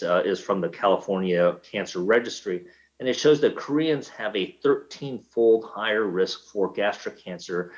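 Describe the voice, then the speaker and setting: Male physician presenter, lowish voice, measured with frequent fillers, muffled lo-fi audio. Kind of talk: medical conference talk.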